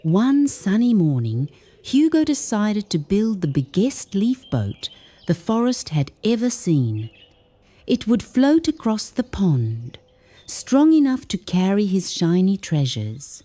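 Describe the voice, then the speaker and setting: A woman reading a children's story aloud in a gentle, expressive, carefully enunciated way. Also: mid-range voice, takes it slowly. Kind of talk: scripted read-aloud children's story narration.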